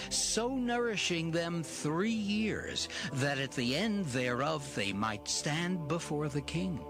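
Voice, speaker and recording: Male scripture narrator, solemn, very unhurried, lively intonation, music underneath. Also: a little high.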